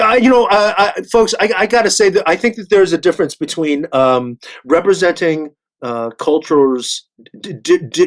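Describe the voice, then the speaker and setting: Older man, halting and stammering. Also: a little high. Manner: halting, stammering, repeats words as he searches for phrasing. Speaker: actor and panel discussion host. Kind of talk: panel discussion.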